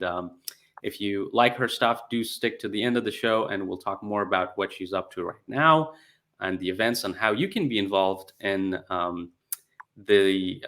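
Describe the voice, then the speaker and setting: A man's voice: lowish voice, pushed and bright. A man hosting an interview over a video call, hesitant with many fillers between phrases. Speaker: podcast host.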